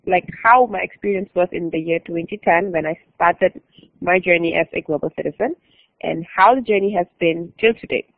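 A woman's voice: fairly low pitch, normal speed, no room sound. Young woman, exchange alumna, casual presenter; tinny phone-like call audio. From Malaysia. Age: young adult. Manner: casual, repeats and restarts phrases, says "like" often. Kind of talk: online conference presentation.

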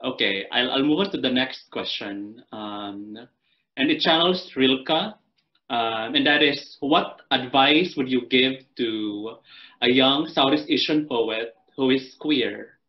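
A man hosting a poetry reading panel on a video call, asking questions gently with many pauses and fillers. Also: mid-range voice. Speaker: panel host and anthology editor. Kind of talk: moderating a reading. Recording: muffled video call audio.

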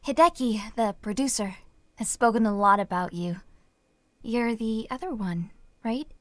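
A woman's voice: on the high side, a little slow, fairly bright tone, dry recording. An actress playing a smug young woman, a rival singer who talks down sweetly in a game. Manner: condescending, sweetly taunting.

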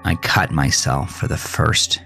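Male horror podcast narrator, low gravelly voice, slow, brooding, theatrical, faint music behind. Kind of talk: dramatic first-person horror storytelling.

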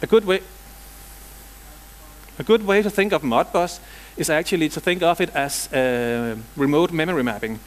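A man from Denmark with a Danish accent presents at a software conference. His voice sits a little high, and he slips casual, dry jokes between the technical points. He is middle-aged and speaks on a lapel mic in a big hall. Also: moderate pace. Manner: dry joking, casual asides.

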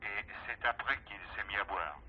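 A man's voice: lowish voice, animated, moderate pace, close to an everyday voice. Actor as junior policeman on muffled, telephone-like line. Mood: reporting. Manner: informal, reporting to his boss. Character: deferential, joking male police subordinate. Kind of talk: French radio crime drama acting. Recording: telephone-like, muffled, noisy crowd behind.

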